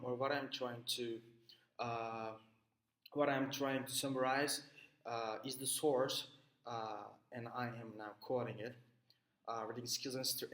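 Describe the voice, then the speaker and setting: A man's voice: mid-range voice, fairly bright tone. Hesitant Turkish man in an echoey room. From Turkey. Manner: many "uh" fillers and restarts, explaining with personal examples. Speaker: student presenting a reading assignment. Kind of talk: hesitant spoken summary for a class video.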